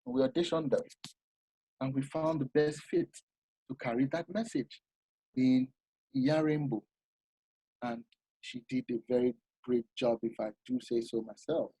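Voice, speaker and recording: A man giving an informal masterclass talk, animated and explanatory, often restarting his sentences. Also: mid-range voice, unhurried, long pauses, slightly rough, no room sound, rough recording. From Nigeria. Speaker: masterclass speaker.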